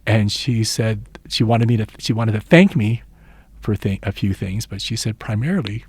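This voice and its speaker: A man's voice: fairly low pitch, normal speed, husky, dry recording. A man in his sixties, originally from the Philippines, giving thoughtful, earnest interview answers as an education professor and telling stories from his teaching. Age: 60s.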